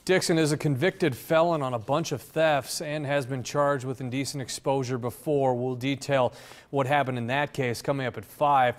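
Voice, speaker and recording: An American man giving a scripted TV news report as a reporter in a news center. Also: mid-range voice.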